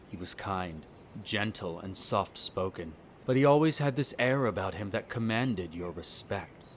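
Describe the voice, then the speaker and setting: A man acting a haughty, bitter centuries-old vampire noble in an audio roleplay, speaking in a formal, measured, condescending way. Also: on the low side, slight background noise, natural voice. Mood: wistful. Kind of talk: scripted roleplay audio drama monologue.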